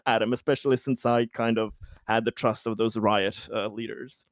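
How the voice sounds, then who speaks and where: A man's voice: on the low side, flat delivery, normal speed, no room sound. Man chatting as a tabletop player, dry sound. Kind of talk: casual game chat.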